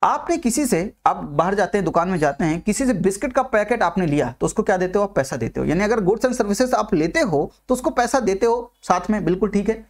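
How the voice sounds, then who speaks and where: A man's voice: sits high, lively intonation, a little fast, hardly any pauses. Man teaching, repeating points and quizzing. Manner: repeats points and asks listeners questions; mixes English terms into talk. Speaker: economics teacher. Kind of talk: exam-prep video lecture.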